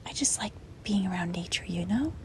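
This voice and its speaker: Woman playing a whispering, hesitant, gentle demon girl. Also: on the low side, lively intonation, brisk. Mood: gentle, apologetic. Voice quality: breathy whisper. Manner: hesitant, rambling, soft-spoken. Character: gentle, apologetic, reluctant young female sleep paralysis demon. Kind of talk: whispered ASMR roleplay. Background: rain and wind.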